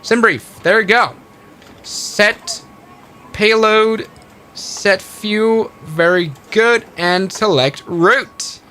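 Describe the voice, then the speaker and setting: A man's voice: sits high, very slow, pushed and bright. A man casually talking through a flight simulator stream, narrating each step aloud and correcting himself as he goes. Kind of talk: casual live-stream commentary.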